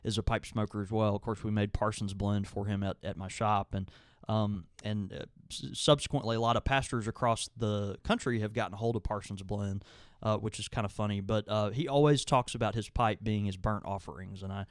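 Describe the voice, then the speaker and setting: A man with an American Southern accent co-hosting a podcast, talking in a relaxed, easygoing way and laughing often. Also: on the low side, fairly quick, smooth, no echo, studio quality. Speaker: podcast co-host and tobacco shop owner. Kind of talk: casual chat.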